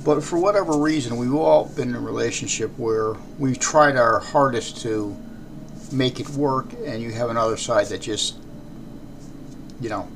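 An American man with a raspy voice hosting a podcast, talking off the cuff in a halting, rambling way. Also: medium pitch. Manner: halting, throat-clearing, rambling. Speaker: podcast host. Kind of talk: unscripted podcast talk. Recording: clearly noisy background.

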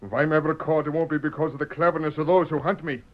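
A man's voice: fairly high pitch, fairly even intonation, fast talker, lightly acted. Guy doing a smug bandit boss in an old radio western, sardonic and bossy, muffled sound. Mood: scornful. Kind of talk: old-time radio western villain acting. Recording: dull vintage radio recording.

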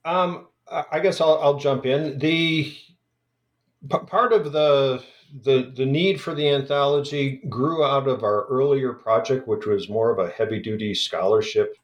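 Halting man in an echoey room. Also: mid-range voice, dull audio. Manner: halting, many restarts and fillers. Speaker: guest scholar. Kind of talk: hesitant conversational interview.

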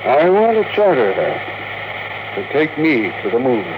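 An elderly, wealthy dreamer with a mid-pitched voice in a classic radio drama. He is wistful and set on his dream. The recording is muffled, with heavy noise over it. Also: wildly swooping pitch, unhurried. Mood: genial, persuasive. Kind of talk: old-time radio drama acting.

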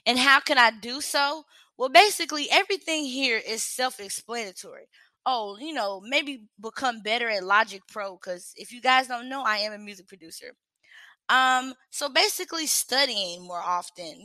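A woman's voice: fairly high pitch, sharp tone, no room sound. A young woman hosting a livestream, reading self-reflection questions aloud and answering them frankly in casual chat. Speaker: livestream host.

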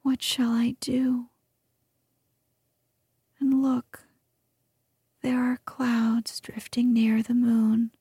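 A woman voicing a gentle, sorrowful female moonbeam spirit in a soft, pleading whisper. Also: fairly high pitch, monotone, very slow, pauses often, slightly rough, no echo, clean studio sound, full character voice. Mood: anxious. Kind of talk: whispered ASMR storytelling.

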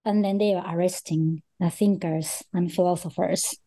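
A woman scholar from Japan speaks in a podcast interview with a Japanese accent. Her voice is mellow and dark-toned, and she pauses often with soft fillers as she thinks. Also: on the low side, steady tone. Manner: thoughtful, with frequent "um" and "you know". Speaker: author guest, associate professor.

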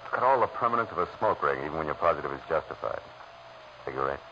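An actor playing a weary, hard-boiled private detective with an American accent, in 1940s radio noir style, clipped and wry. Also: male voice, extremely low pitch, expressive, fast talker, sharp tone, slight background noise, exaggerated voice. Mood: reflective. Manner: world-weary, clipped wisecracking. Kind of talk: 1940s radio noir acting and first-person narration. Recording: muffled old radio recording with music.

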